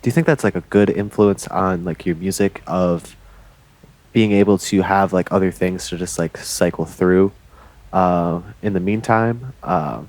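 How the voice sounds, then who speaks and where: Guy hosting a podcast, really low voice, laid back curious questions. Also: a little slow, a little mellow, rough recording. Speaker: podcast host. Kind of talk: casual interview questions.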